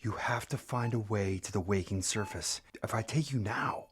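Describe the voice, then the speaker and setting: A man's voice: lowish voice, normal speed, lightly acted. An actor playing an earnest, kind-hearted male hospital visitor in an audio drama. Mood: urgent, hushed.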